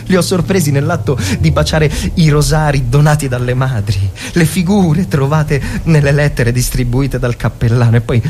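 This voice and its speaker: A man's voice: medium pitch, slight background noise, voice pushed up. A man playing an agitated but compassionate army lieutenant, solemn and emphatic, in a dramatic war story reading. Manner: impassioned, emphatic.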